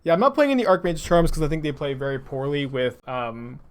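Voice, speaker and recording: A man's voice: a little high, fairly quick, slight background noise. A young man from Texas with a mild urban Texan accent, thinking aloud in dry, sarcastic casual commentary as he plays a card game for viewers. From Texas, USA. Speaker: streamer playing a card game. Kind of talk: casual commentary over gameplay, chatting with viewers.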